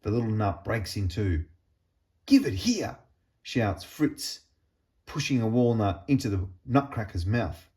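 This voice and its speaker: A man reading a children's storybook aloud in an expressive, deliberate storytelling manner with pauses. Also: lowish voice. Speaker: storybook reader.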